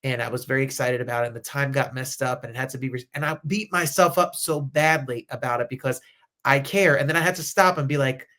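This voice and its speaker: A man's voice: medium pitch, fast, pushed and bright. An American man hosting a casual interview, effusive and encouraging, often rephrasing his questions as he goes. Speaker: interview host.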